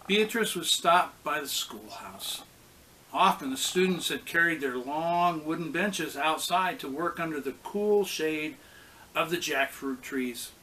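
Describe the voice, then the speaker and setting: Guy reading a kids storybook out loud, real slow and expressive, bit of background noise. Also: on the high side, poor audio. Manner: deliberate, expressive read-aloud with pauses. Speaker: pastor reading a children's story. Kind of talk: storybook narration for children.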